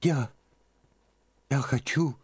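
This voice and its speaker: A man voicing a devout young woman who speaks quietly and sadly. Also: mid-range voice, very slow, husky, pitched above the performer's own voice. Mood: pleading. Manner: hushed, subdued, soft-spoken.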